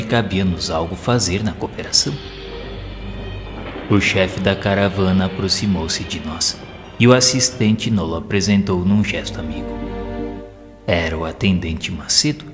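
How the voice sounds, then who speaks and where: A Brazilian man reading a literary audio novel as narrator, with formal, solemn diction. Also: fairly low pitch. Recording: long reverb, prominent background music. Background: music.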